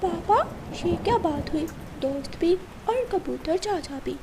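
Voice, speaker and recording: A woman's voice: extremely high pitch, lively intonation, a little slow, pitched above the performer's own voice, exaggerated voice. A woman voicing a cheeky, eager young bird child in a cartoon, playful and pleading. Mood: puzzled, teasing. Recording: loud storm and rain noise behind.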